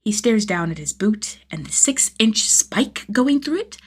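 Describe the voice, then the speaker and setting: A woman's voice: medium pitch, animated, measured pace, husky. A woman narrating fan fiction as a scripted audiobook-style reading, with a dramatic, emphatic delivery. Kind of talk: expressive scripted story narration.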